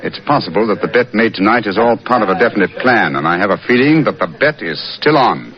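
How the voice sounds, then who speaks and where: An actor playing a shrewd, composed gentleman detective, speaking precisely and deliberately in a muffled 1940s radio drama. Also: fairly low pitch, animated, very noisy, natural voice. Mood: brooding, alert.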